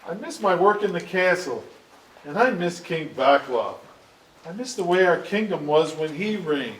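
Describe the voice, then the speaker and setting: A man's voice: high-pitched, expressive, normal speed, noisy background. A man playing a loyal, devoted royal storyteller in a staged reading of a stage musical. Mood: wistful, resolute. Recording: echoey stage, distant mic, faint music.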